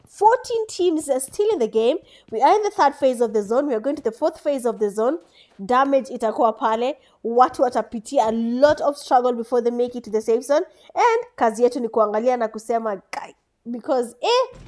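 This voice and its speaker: Young Kenyan woman, high-pitched and chatty, streaming her own esports commentary. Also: darkish tone, a little hiss or hum. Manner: chatty, laughs often, mixes in Swahili. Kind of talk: live game commentary.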